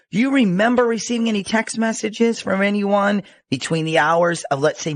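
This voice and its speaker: A man's voice: a little high, a bit bright, no room sound, voice pushed down. A man acting as a police detective on a prank call, courteous and businesslike. He keeps a reassuring, official manner in a close, dry sound.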